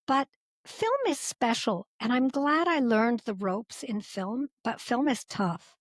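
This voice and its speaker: A woman's voice: on the high side, slow pace, no room sound. A woman from Canada, a former actress now interpreter, telling stories in a casual podcast interview with lots of fillers. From Canada, Edmonton. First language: English. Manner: animated, chatty storyteller with frequent fillers.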